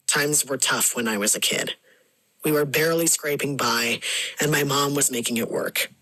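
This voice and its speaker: A man playing a reflective storyteller, delivering a scripted first-person monologue in a measured, wistful manner. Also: mid-range voice, pushed and bright, no echo, low-quality recording. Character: reflective grown storyteller recalling a lonely childhood.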